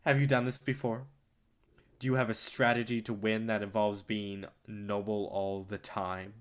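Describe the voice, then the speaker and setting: An actor in a staged reading playing a domineering, cynical film distributor with a condescending, lecturing manner. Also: male voice, on the low side, flat delivery, clean tone, lightly acted. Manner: condescending, rapid-fire lecturing.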